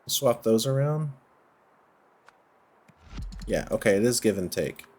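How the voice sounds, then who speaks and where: A man giving casual gameplay commentary, thinking out loud in short, halting phrases. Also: fairly low pitch, lively intonation, a little hiss or hum. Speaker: let's play host. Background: music.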